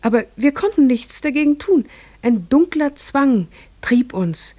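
An entranced farmer's wife, dry close sound. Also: female voice, high voice, lively intonation, a little slow, warm tone, dry recording. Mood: fervent, entranced. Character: farmer's wife and mother, entranced, remembering strange ravens. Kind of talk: literary radio play monologue.

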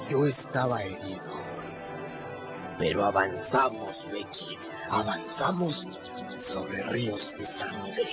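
An actor playing a boastful, adventurous teenage boy with a dramatic, showy way of telling things, in a radio drama. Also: fairly low pitch. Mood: swaggering. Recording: muffled radio play with music.

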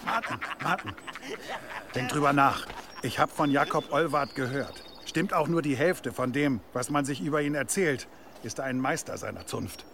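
A man's voice: medium pitch, moderate pace, vocal fry, noisy background, lightly acted. An actor playing a pragmatic, shrewd henchman in a German historical audio drama, reasoning in an urging manner. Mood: urgent, reasoning. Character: pragmatic, shrewd male henchman advising his leader.

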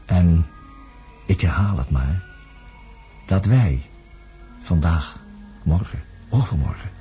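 An actor playing a reflective man who studies the human mind, narrating a radio play in a solemn, contemplative way with dramatic pauses. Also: deep voice, animated, mellow, dull audio, soft background music. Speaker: narrator recounting events.

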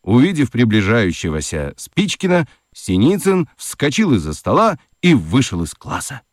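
A man narrating a children's radio play as an expressive, dramatic storyteller. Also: mid-range voice, moderate pace, dry recording, studio quality.

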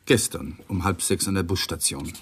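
A shaken, evasive young widower in a radio crime drama. His voice is low, and he speaks in a hesitant, subdued manner. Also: moderate pace, a bit bright, husky. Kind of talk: 1980s radio play acting.

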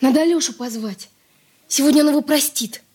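Actress as an eager fast-talking young daughter. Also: female voice, high-pitched, a little mellow, close to an everyday voice. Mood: eager, excited. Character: eager, good-hearted, impulsive young daughter. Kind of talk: Soviet radio play acting. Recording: noisy old radio recording.

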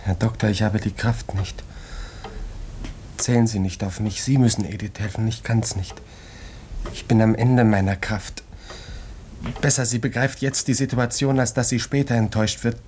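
An actor playing an anguished, weak-willed young army lieutenant in a German radio drama, pleading and repeating himself in agitation. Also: male voice, on the low side, steady tone, moderate pace, pauses often, a little mellow, slight background noise, close to an everyday voice. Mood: despairing. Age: young adult.

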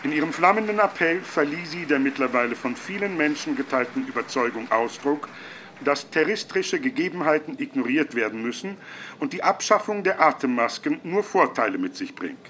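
A man's voice: a little high, lively intonation, fairly quick, sharp tone, a little hiss or hum. A man playing a formal news announcer in a radio play.

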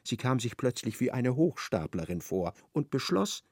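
A man's voice: mid-range voice, very animated, moderate pace, darkish tone, husky, no room sound, studio quality. A man narrating a literary radio drama. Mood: measured.

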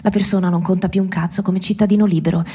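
A woman's voice: on the low side, steady tone, fast, mellow, slightly rough. A woman delivering an impassioned satirical monologue at a live event, with rhetorical questions, emphatic repetition and sardonic swearing. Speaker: speaker at a benefit event for Gaza. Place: live public event, speaking to an audience.

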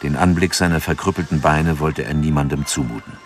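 A man narrating a radio drama in a calm, measured way, with music behind him. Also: very deep voice.

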